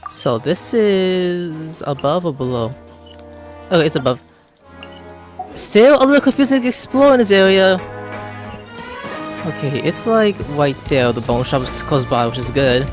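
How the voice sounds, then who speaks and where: A man's voice: on the high side, lively intonation, measured pace, pauses often. A man doing casual let's play commentary over a video game, slangy and talking to himself. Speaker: gameplay host. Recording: game music under the voice.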